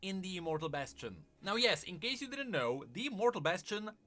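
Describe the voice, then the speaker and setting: A man's voice: sits high, very animated, brisk, sharp tone. A man presenting game lore commentary in an emphatic, semi-scripted style with conversational asides.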